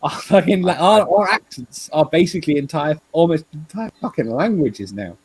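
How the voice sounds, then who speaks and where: A man with an English accent in casual, rambling discussion, halting and repeating himself, swearing freely. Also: on the high side, expressive, breathy edge, no room sound, rough recording. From England. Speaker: talk show participant.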